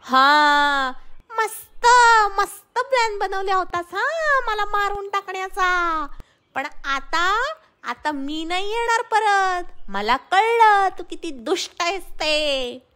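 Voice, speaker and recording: A woman voicing an excitable, clever male monkey in a children's story, with hooting exclamations and a bouncy delivery. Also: extremely high pitch, slow, voice pushed up, exaggerated voice. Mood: triumphant, scornful.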